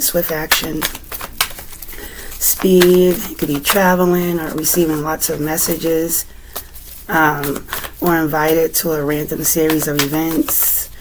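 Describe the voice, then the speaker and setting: A woman with a raspy, recovering voice giving a relaxed, chatty tarot reading at home, with many fillers. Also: low voice, background noise. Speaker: tarot reader. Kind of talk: casual tarot reading to camera.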